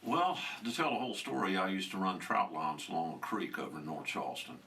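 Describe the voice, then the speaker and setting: A man's voice: low voice, fairly quick, bright, forceful tone. A man telling a personal story step by step in an interview. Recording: distant mic, faint ambience.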